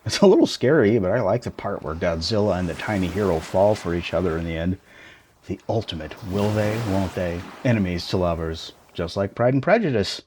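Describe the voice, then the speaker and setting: A man's voice: low-pitched, animated, brisk, darkish tone, slight background noise. A man playing a tiny shrunken boyfriend in an intimate ASMR roleplay, playful, teasing and doting, with theatrical exclamations. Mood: chatty, wry.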